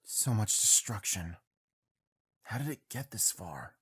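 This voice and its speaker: A man playing a grim, troubled hero in an audio drama, with a husky, hushed and weary delivery. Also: lowish voice, very animated, takes it slowly, full character voice. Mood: grim concern. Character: grim, troubled heroic male rider warrior.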